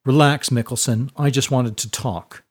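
A man's voice: mid-range voice, fairly even intonation, normal speed, close to an everyday voice. A man voicing a smug young aristocratic schoolboy who bullies with taunting contempt, in a storybook narration.

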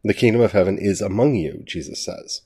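A man with a cold, his voice gravelly and nasal, teaching a Bible study in a casual spoken way, earnest and thinking aloud. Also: fairly low pitch, a little fast, a little mellow.

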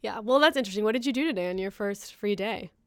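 This is a chatty woman hosting a podcast, talking casually with her guests and laughing often. Her voice sits at a middle pitch, and the recording is dry and close with no room sound. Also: fast talker, fairly bright tone, clean tone, dry recording. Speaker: podcast host.

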